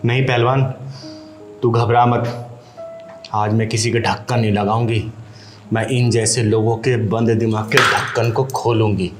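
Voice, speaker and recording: An actor playing an aggrieved, wise transgender elder who pleads and preaches earnestly, in a melodramatic web drama. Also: male voice, lowish voice, steady tone, a little slow, long pauses, close to an everyday voice. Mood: grieving. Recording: roomy echo with faint music.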